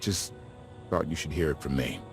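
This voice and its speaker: An actor playing an easygoing, principled renegade starship captain in a video game, with a casual, frank manner. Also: male voice, rumbling low, expressive, normal speed, faint music behind. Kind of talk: video game cutscene acting.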